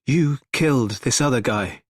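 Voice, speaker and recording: A man voicing a frantic grown man who shouts in a raised, higher voice. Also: normal speed, dry recording, clean studio sound, close to an everyday voice. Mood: agitated. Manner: loud and agitated.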